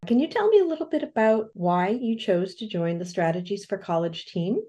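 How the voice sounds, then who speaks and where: A woman's voice: mid-range voice, lively intonation, moderate pace, warm tone. A woman interviewing a colleague, asking questions in a polite, appreciative way.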